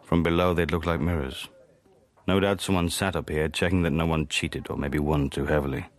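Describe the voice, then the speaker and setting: An actor playing a world-weary, sardonic Cold War spy, narrating a radio drama in a dry, understated manner. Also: male voice, a bass voice, steady tone, a little fast. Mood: brooding, wry. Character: wry, world-weary Cold War secret agent, insubordinate.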